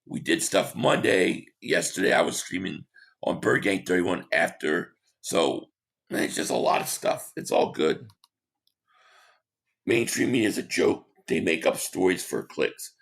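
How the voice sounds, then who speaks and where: A man hosting a sports talk livestream, hoarse and losing his voice, reading chat comments and giving rambling, opinionated takes. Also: low voice, animated, a little slow. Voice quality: hoarse, gravelly. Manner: rambling, opinionated, repeats himself.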